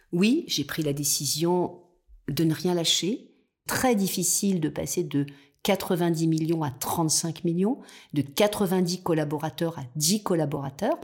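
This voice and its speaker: Entrepreneur woman in her fifties, low husky voice, reflective and determined. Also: a little mellow. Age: 50s. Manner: reflective, determined, quotes her own inner thoughts. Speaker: entrepreneur guest. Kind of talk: personal storytelling in interview.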